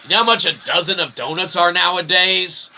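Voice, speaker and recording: A man's voice: sits high, brisk. A man hosting a livestream with a rambling, comedic philosophical monologue, speaking in theatrical, mock-formal phrases with frequent check-in tags. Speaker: livestream host and self-published writer. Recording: clearly noisy recording with background ambience.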